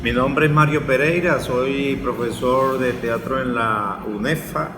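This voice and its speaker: A man's voice: mid-range voice, fairly even intonation, a little slow. Venezuelan man in an echoey, noisy hall. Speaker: theatre professor. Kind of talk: spoken opinion in a panel interview. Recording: very echoey hall with loud noise.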